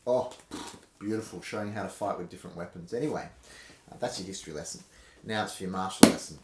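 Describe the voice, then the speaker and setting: A man hosting a dramatic reading, speaking theatrically and emphatically with dramatic pauses. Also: low-pitched, a little hiss or hum. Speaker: host reading aloud from a historical treatise. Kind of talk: casual intro, then dramatic reading of an old fencing manual. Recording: roomy, echoing indoor sound.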